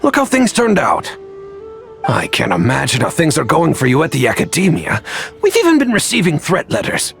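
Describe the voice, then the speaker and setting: A man's voice: on the high side, huge pitch swings, moderate pace, gravelly, fairly bright tone, soft background music, exaggerated voice. An actor playing a worried, stern middle-aged father speaking in a weary, reproachful way. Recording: game soundtrack behind.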